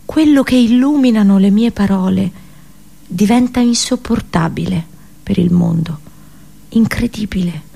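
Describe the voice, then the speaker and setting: A woman performing an intense theatrical radio monologue as an anguished, unheeded princess seer, in deliberate, broken phrases, in Italian radio drama style. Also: medium pitch, vocal fry, mellow, husky. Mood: hushed, grieving. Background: music.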